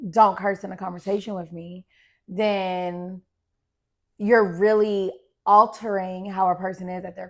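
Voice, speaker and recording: A young woman with a raspy voice talks bluntly and unapologetically as a podcast co-host. Her voice sits at a middling pitch, and her tone is relaxed, conversational and frank. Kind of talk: casual chat.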